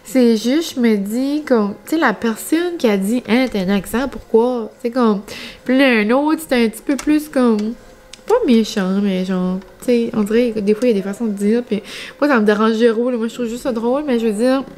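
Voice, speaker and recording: Young acadian woman from new brunswick just chatting to her vlog, voice on the high side, rambly and colloquial. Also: moderate pace, a little mellow, slight background noise. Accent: Acadian French from northern New Brunswick. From New Brunswick, Canada. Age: young adult. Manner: rambling, colloquial regional speech with filler words. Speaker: vlogger. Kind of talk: casual chat to viewers.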